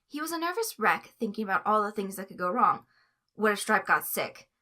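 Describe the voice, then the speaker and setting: A woman narrating a story aloud in an expressive, scripted reading style. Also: mid-range voice, a little fast, slight background noise. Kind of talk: expressive fan fiction reading.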